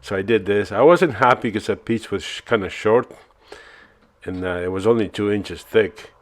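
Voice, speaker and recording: A man from Argentina, narrating his woodturning work in a relaxed, self-mocking voice-over with many fillers. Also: on the low side, normal speed. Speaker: woodturning channel host.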